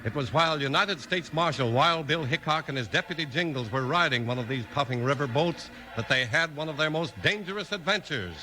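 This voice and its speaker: Bright, highish-voiced 1950s radio announcer man. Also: expressive, a little fast, hardly any pauses, rough recording. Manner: polished, emphatic showman delivery. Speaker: announcer and narrator. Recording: old 1950s radio recording.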